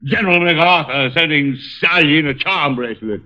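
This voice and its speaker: An actor playing a haughty, theatrically indignant collector in an old radio drama, with pompous, florid diction. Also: male voice, on the high side, a little fast, natural voice. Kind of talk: old-time radio acting. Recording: muffled old radio recording.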